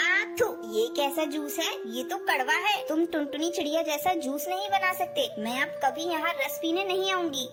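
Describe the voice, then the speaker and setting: A little female cartoon bird acted by a woman, extremely high and childlike. She speaks earnestly and kindly, as in a bedtime fable, over loud children's music. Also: normal speed, hardly any pauses. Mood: plain-spoken. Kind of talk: Hindi cartoon moral story dialogue.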